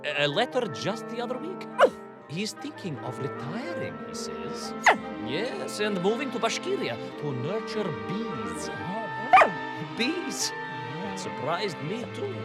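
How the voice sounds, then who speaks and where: An actor playing a bumbling, boastful but kindly Soviet cosmonaut, telling tall tales for a game, dramatic and rambling. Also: male voice, on the high side. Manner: dramatic, rambling, full of hesitant asides. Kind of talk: theatrical storytelling game voice acting.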